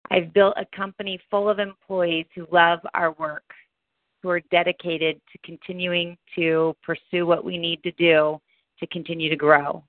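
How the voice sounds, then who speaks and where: A woman's voice: on the low side, flat delivery, moderate pace, no echo. A woman reading a scripted first-person visualization in affirming, declarative phrases over a telephone conference line. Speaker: speaker reciting a personal vision. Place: telephone call. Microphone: phone. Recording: tinny phone conference line.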